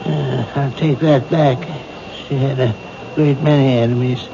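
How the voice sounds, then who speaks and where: An actor playing a grieving, forgetful widower in a 1970s radio drama, hesitant and trailing off. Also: male voice, medium pitch, fairly even intonation, a little slow, vocal fry. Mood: evasive, vague. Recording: dull old radio broadcast with hiss.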